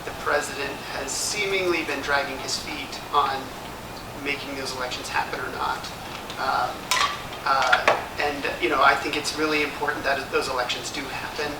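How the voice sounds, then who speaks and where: A man's voice: mid-range voice, steady tone, normal speed. Echoey far-off guy on a career panel, thinks as he talks, lots of background noise. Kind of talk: extemporaneous panel answer. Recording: echoey, distant mic, loud room noise.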